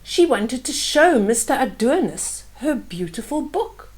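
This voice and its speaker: An older woman reading a children's bedtime story aloud, adding gentle, simple asides about the pictures for young listeners. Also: high voice, very animated, unhurried.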